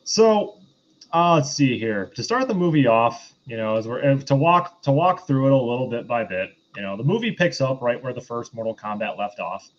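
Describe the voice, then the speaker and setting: A man hosting a casual film review discussion, speaking in a halting, hesitant way. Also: medium pitch, big pitch swings, dull audio. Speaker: podcast host.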